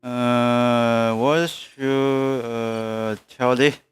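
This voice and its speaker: A man livestreaming a game with casual commentary, muttering in halting, fragmented phrases. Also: medium pitch, steady tone, clean tone, soft background music. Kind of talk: casual live gameplay commentary.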